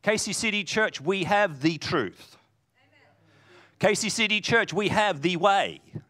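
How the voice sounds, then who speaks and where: A man preaching a sermon in a booming voice, swinging from loud declarations to hushed lines. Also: expressive, a little slow.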